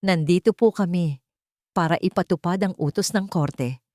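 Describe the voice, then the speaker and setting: A woman voicing a female social worker who speaks softly, politely and firmly in a dramatic story. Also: lowish voice, normal speed, dry recording, studio quality, lightly acted. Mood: gentle, firm. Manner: soft, firm, formally polite. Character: gentle but firm female social worker.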